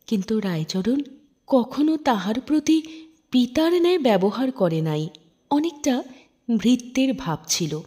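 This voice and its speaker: A woman storyteller narrating literary fiction in an expressive, measured, dramatic way. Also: a little high, slightly rough.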